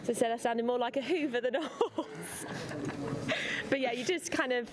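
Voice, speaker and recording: A woman's voice: on the high side, normal speed, background noise. A woman chatting casually and anecdotally in an interview. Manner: informal, anecdotal. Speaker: puppeteer interviewee.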